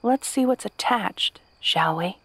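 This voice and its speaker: A woman's voice: mid-range voice, lively intonation, takes it slowly, fairly bright tone, slight background noise. A woman playing a kind, hapless young princess in a fantasy audio roleplay, talking to the listener in a nervous, stammering, chatty way. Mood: curious, gentle. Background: night sounds with crickets.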